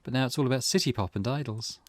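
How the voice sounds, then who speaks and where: A man's voice: medium pitch, fast talker, no room sound, studio quality, lightly acted. A man voicing a weary, grieving middle-aged mother from a visual novel, reading her old-fashioned, wistful thoughts aloud.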